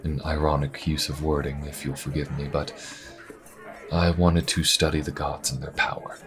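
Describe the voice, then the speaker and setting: A man playing a weary, easygoing inquisitor in a fantasy audio roleplay, chatting in a wry, conversational way with musing pauses. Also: little pitch movement, crowd noise. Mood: wry, reminiscing. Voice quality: very deep, gravelly. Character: weary, easygoing adult male Inquisition agent and adventurer. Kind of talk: fantasy audio roleplay, in-character small talk to the listener.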